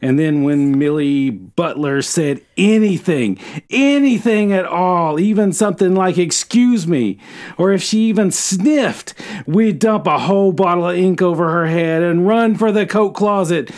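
A man's voice: high-pitched, unhurried, darkish tone. A man co-hosting a podcast, retelling a book and reading from it aloud. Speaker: podcast co-host.

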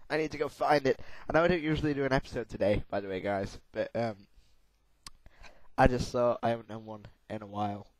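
A hoarse young man with a sore throat, giving excitable let's-play commentary while gaming. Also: mid-range voice, brisk, dry recording, rough recording. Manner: excitable, yelps and laughs at crashes. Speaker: gamer.

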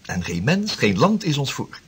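Husky, animated young explorer. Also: male voice, medium pitch, normal speed, heavily acted. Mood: fervent. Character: ardent young sea explorer, tender with his betrothed. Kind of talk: Dutch radio drama acting.

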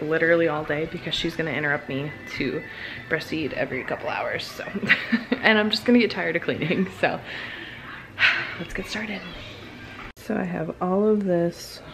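A woman's voice: on the low side, measured pace, long pauses, music in the background. A young woman vlogging casually at home, chatty and self-deprecating with sighs. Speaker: vlogger, stay-at-home mom. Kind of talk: casual vlog chat. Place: home kitchen and living area, indoors. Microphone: handheld camera, nearby.

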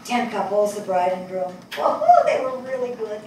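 An older woman acting a thoughtful writer in a stage play reading, telling her story with expressive, wistful, deliberate phrasing. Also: medium pitch. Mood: wistful wonder. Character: thoughtful, attentive New York woman writer. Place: on stage in a theatre before an audience. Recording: distant mic, echoey hall, audible noise.

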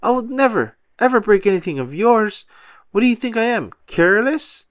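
A man performs a dim, big-hearted cartoon starfish for a storybook. He sounds childlike and naive, quick to get worked up, with big swings in pitch. The recording is dry. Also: very animated, clear, resonant tone, no room sound. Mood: upset, yelling. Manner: naive, pleading, easily worked up.

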